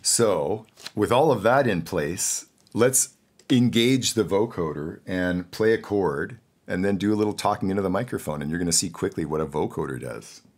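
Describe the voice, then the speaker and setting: Low-voiced man giving a casual tutorial. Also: moderate pace. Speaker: host and audio production instructor. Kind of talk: casual tutorial, explaining studio gear.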